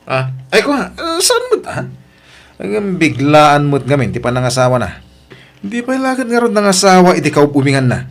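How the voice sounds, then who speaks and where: A man's voice: on the high side, wildly swooping pitch, normal speed. A man with an Ilocano accent acting a brash, scoffing, gossipy husband in a family radio drama, exclaiming mockingly. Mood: scoffing, surprised.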